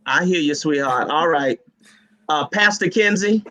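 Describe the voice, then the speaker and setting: A man hosting a live online panel of pastors, jovial and teasing as he asks quick questions over a video call. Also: fairly high pitch, background noise. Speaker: host and moderator.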